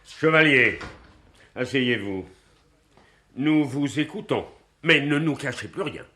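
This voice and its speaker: An actor playing a gruff, impatient police superintendent in a French radio play, speaking in a brusque, commanding way. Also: male voice, mid-range voice, animated, slow, sharp tone.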